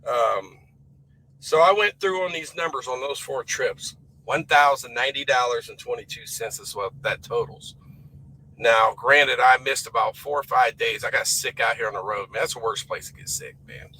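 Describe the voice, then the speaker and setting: A man who drives his own truck, talking casually about fuel discounts, his voice hoarse and raspy from a cold, rambling in a folksy, emphatic way. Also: mid-range voice, moderate pace, sharp tone, slight background noise, poor audio. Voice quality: rough and raspy. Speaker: owner-operator truck driver. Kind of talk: casual vlog talk to camera.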